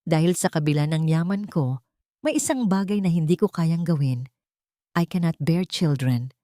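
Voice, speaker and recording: A woman's voice: sits low, animated, moderate pace, warm tone, no room sound. Woman narrator as rich scheming mistress, mock-sweet, taunting, gloating, dry close sound, audio story villain. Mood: venomous, possessive.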